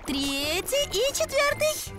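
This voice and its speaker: An earnest little girl kitten from a cartoon, organised and a touch worried. The voice is extremely high, with loud music behind it. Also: very animated, takes it slowly, heavily acted. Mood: eager. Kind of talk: animated cartoon dub.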